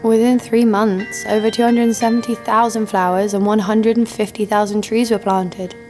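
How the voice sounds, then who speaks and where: A woman's voice: medium pitch, level tone, normal speed, a little mellow, faint music behind, lightly acted. A woman playing a sardonic, vengeful bounty hunter who narrates her own story in an audio drama. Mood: grim. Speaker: lead actor and narrator.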